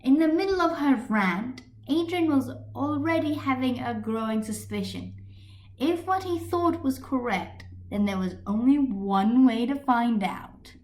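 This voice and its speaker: A woman's voice: sits high, lively intonation, a little slow, darkish tone. A woman reading a scripted story as an amateur narrator, recorded on a built-in computer microphone. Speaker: amateur fan fiction reader. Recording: echoey room on computer microphone.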